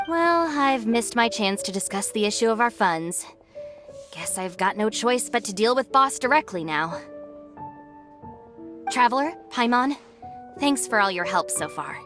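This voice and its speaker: Game second-in-command, young woman: stern, no-nonsense, high-pitched, faint music behind. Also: expressive, measured pace, long pauses. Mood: practical, earnest. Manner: firm, exasperated, no-nonsense. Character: stern, practical young female gang deputy. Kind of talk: video game voice acting.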